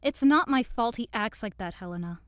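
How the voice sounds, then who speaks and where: A woman playing a devoted young lover in an audio drama, earnest and expressive in a theatrical way. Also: fairly high pitch, moderate pace, no room sound, clean studio sound, natural voice. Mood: friendly, earnest. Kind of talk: modernized Shakespeare audio drama acting.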